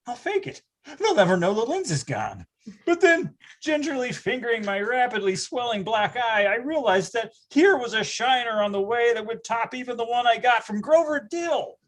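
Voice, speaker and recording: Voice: medium pitch, fairly bright tone. A performer playing a wry storyteller recalling boyhood, narrating in a grandiose, melodramatic comic manner. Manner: grandiose, dramatic wording.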